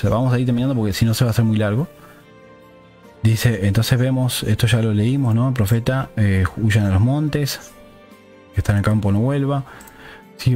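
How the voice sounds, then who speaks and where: A man's voice: medium pitch, a little mellow. A man preaches a teaching sermon in a didactic way, often checking in with listeners through short rhetorical questions.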